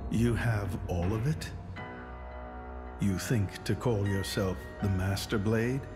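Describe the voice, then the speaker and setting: A stern, grave older man in a video game. His low voice is measured and challenging. Ominous music plays clearly behind him. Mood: stern, wary. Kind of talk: video game cutscene acting.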